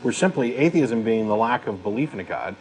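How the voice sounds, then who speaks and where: A man's voice: on the low side, expressive, brisk, a little mellow, slight background noise, low-quality recording. A man speaks as a talk show host, debating in an emphatic, assertive and conversational way.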